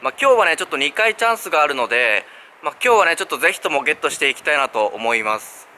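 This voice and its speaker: Casual male vlogger in his thirties, lively pitch, walking and talking on a busy street. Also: very fast. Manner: relaxed, filler-heavy narration with frequent "ne" tags. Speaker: sneaker vlogger. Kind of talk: casual walk-and-talk vlog. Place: outdoors on city streets, walking. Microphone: handheld camera at arm's length. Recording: street noise behind.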